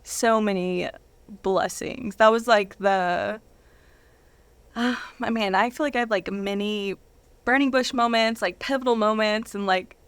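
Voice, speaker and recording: Woman, thirties, interview guest, casual storyteller, informal animated phrasing, average pitch, dry recording. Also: unhurried, clear, resonant tone, no echo. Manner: informal, animated anecdotes peppered with "like".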